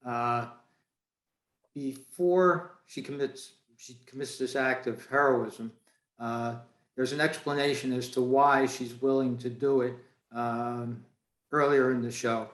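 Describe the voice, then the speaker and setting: A man chatting on a video call as a club member, earnest and halting. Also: mid-range voice, steady tone, a bit bright. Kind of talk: casual chat. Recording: roomy, echoey video call.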